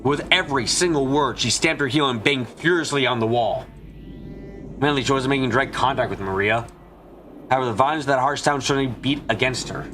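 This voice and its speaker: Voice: mid-range voice, fairly quick, a bit bright, soft background music. A narrator reading a story in a dramatic, scripted style.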